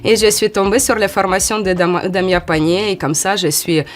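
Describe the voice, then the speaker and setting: Une femme d'une quarantaine d'années, d'origine ukrainienne, avec un ukrainien, qui répond à une interview sur un ton décontracté et hésitant. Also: female voice, medium pitch, normal speed. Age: 40s. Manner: hesitant, many "euh" fillers, lively when acting out quotes. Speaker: model, interview guest. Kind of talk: casual interview answers.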